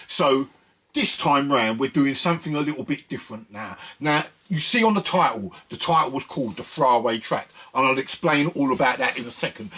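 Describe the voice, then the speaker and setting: A man's voice: mid-range voice, a bit bright, breathy edge. A man hosting a music video series, talking casually and directly to his viewers in a conversational way. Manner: conversational, addresses viewers directly with frequent "listen" and "yeah". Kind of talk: casual chat to viewers about records and samples.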